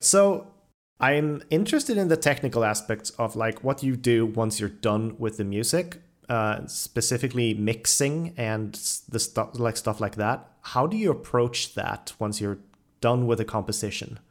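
Encouraging male podcast host, medium pitch. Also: a little slow. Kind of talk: conversational interviewing.

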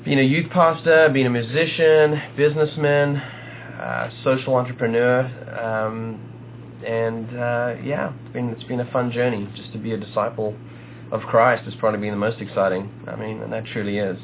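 A man's voice: medium pitch, little pitch movement, moderate pace. A man from South Africa with a South African accent, a podcast guest chatting casually and joking about himself. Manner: joking, self-deprecating asides. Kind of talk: casual chat. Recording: lo-fi phone-like sound with faint hiss.

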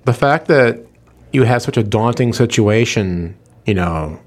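A man with a medium-pitched voice talks like a radio host in relaxed conversation. He is effusive and emphatic, dropping in "you know" as he goes. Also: measured pace. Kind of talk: casual, conversational interview talk.

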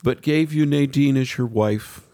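A man hosting a podcast, speaking deliberately and emphatically in interview and presenter style. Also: mid-range voice, warm tone, no room sound. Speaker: podcast host. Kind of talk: interview and scripted sign-off.

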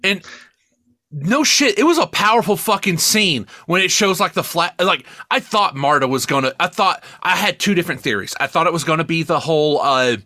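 Excitable guy w high bright voice, rambling and swearing on a casual podcast, really emphatic. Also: normal speed, no echo, clean studio sound. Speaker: podcast co-host. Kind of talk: casual, profane film review chat.

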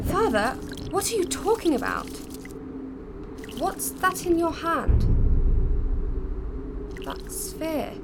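An actress playing a young, dutiful alien princess and loving daughter in a sci-fi audio drama, speaking with urgent, earnest emotion. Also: female voice, extremely high pitch, big pitch swings, slow, creaky, pauses often, heavy background noise, exaggerated voice. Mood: bewildered, firm.

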